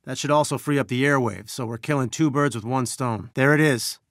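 A man's voice: medium pitch, a little fast, a bit bright, dry recording, clean studio sound, lightly acted. Man acting an earnest, urgent resistance leader. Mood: steady, businesslike. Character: earnest male scientist leading a resistance, urgent, weary. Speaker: game character giving mission briefings. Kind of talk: video game mission dialogue.

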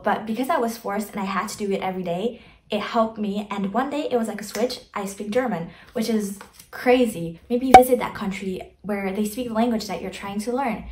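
A young woman giving earnest, chatty advice straight to the camera from her bedroom, speaking with emphatic, reassuring warmth. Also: medium pitch, reverberant. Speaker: vlogger. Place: bedroom, indoors. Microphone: camera in front, medium framing.

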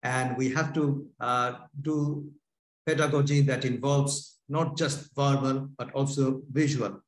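A man's voice: medium pitch, fairly even intonation, slow. Man, panel chair, video call, hesitant delivery, echoey room. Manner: hesitant, many filler sounds. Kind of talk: moderating an online panel.

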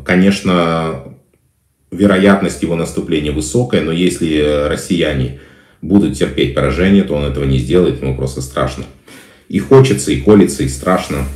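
Middle-aged man, very deep voice, live Q&A commentary, many hesitation fillers, echoey room. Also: a little mellow. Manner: hesitant with frequent "э" fillers, emphatic repetition. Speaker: political commentator answering viewer questions. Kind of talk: live stream Q&A, reading viewer chat. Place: small indoor room. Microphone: phone camera close-up.

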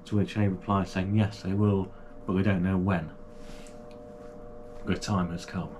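A man chats his way through an unboxing at home. His voice sits a little low, and he talks with plenty of fillers and restarts. Background noise is clearly audible in the room. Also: little pitch movement, measured pace, a little mellow. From United Kingdom. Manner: chatty and enthusiastic, with frequent fillers and restarts. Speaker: kayaking channel host. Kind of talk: casual product unboxing. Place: small home gaming room, indoors.

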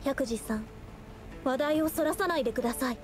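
An actress voicing a timid yet principled young female painter in an anime-style game, polite, soft-spoken and earnest. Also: high-pitched, brisk, natural voice. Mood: firm, indignant. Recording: game music behind.

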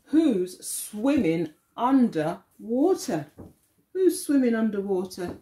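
A middle-aged woman, an early years teacher, reads a picture book aloud to young children, expressively and deliberately, with questions for them. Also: medium pitch, sing-song, dark tone. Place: living room at home, indoors.

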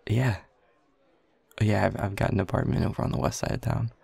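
A man playing a shy, creaky-voiced young coworker. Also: lowish voice, fairly even intonation, a little fast, darkish tone, no room sound, exaggerated voice. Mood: bashful, awkward. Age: young adult. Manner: hesitant, flustered, stammering, nervous chuckles. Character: shy, self-deprecating young man, new office coworker with a crush. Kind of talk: intimate audio roleplay, one side of a conversation.

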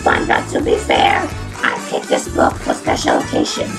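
A woman voicing a hesitant, apologetic cartoon housewife with a croaky, breaking voice, in an amateur skit. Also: close to an everyday voice. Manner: halting, apologetic. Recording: game music behind the voice.

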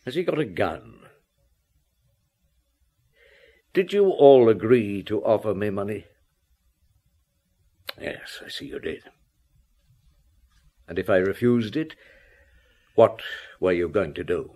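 An actor playing a shrewd police inspector who questions deliberately, in old radio drama style. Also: male voice, fairly low pitch, lively intonation, mellow. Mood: probing. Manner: deliberate, interrogating.

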